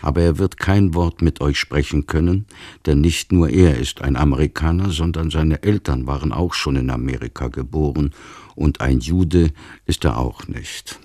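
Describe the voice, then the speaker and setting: Radio play, sober family man, rumbling bass, deliberate and earnest, dry recording. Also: dark tone, no room sound. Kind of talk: radio drama acting, measured speech.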